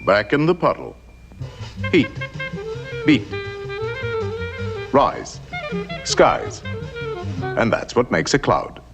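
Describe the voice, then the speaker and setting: A man narrating an animated science film in a scripted, theatrical storytelling style. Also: medium pitch, drawn-out words, pauses often. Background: music.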